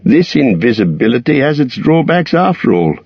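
A man's voice: mid-range voice, big pitch swings, normal speed, creaky, slightly rough, no echo, pitched above the performer's own voice, exaggerated voice. A man voicing a small, anxious male hobbit with a shaky, fretful manner. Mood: rueful. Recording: dull lo-fi recording.

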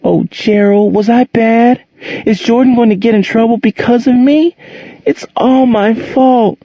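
A man voicing a terrified little girl, almost seven, speaking frantically through tears in a very high voice. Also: unhurried, warm tone, no room sound, dull audio, exaggerated voice. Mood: frantic. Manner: tearful, frantic.

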